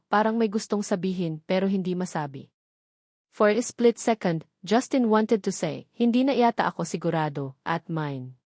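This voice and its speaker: A woman's voice: mid-range voice, no room sound, studio quality. Woman narrating a romance story. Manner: steady storytelling, mixing in English phrases.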